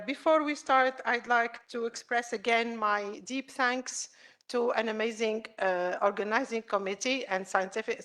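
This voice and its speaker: A woman's voice: medium pitch, a bit bright. A woman chairing a conference session, introducing the speaker with many hesitant fillers. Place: conference room.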